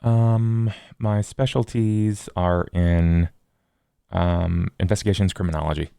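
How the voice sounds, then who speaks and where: A man with a very deep, gravelly voice in a dry, close recording. He is a tabletop player reasoning aloud in careful, halting phrases. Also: fairly even intonation, mellow, no room sound. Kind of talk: casual game discussion.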